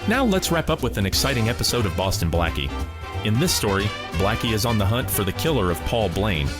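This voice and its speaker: Man, radio host, lowish voice, calm, music behind. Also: a little fast. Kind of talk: calm program introduction.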